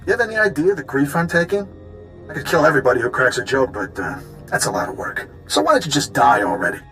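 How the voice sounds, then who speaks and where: An actor playing a cocky, vengeful gangster rogue in a video game, with a smug, sneering manner. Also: male voice, on the high side, very animated, fast talker, bright, forceful tone, soft background music, full character voice. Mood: taunting, bitter.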